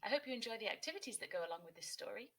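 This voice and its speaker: A woman teacher narrating a children's story, expressively and clearly phrased for young listeners. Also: a little high, lively intonation, very fast, fairly bright tone.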